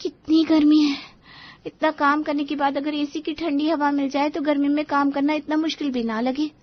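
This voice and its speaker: A woman's voice: high voice, level tone, normal speed, lo-fi sound, lightly acted. A woman voicing an exasperated, overworked young daughter-in-law, whiny and pleading, in a Hindi cartoon story. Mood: complaining.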